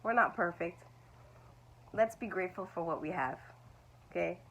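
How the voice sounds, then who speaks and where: A woman's voice: on the low side, moderate pace, a bit bright, muffled recording, a little hiss or hum. Reassuring woman teaching. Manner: reassuring, repeats "it's okay". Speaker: language teacher. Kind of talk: talking-head video lesson.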